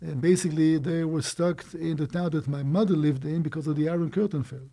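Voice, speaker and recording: A man in his sixties, born in Romania, telling his life story as an interview guest in a brisk, animated way. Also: on the high side. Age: 60s. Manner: brisk, animated, quick asides and small laughs.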